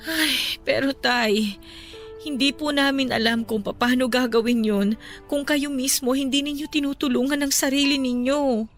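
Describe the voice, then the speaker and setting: Woman acting a caring grown daughter in a radio drama, slightly high voice, earnest and respectful, soft music behind. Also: steady tone, a little slow. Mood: consoling, pleading.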